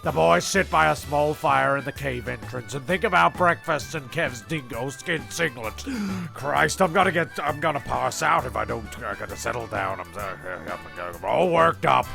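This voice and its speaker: A man's voice: medium pitch, expressive, moderate pace, vocal fry. A man who hosts and narrates a homemade comedy, rambling and getting worked up as he goes. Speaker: narrator and host. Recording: homemade recording with music behind.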